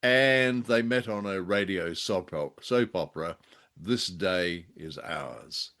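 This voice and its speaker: A man co-hosting a podcast, reviewing radio drama in a halting, measured way with dry understatement. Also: low-pitched, fairly bright tone. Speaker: podcast co-host.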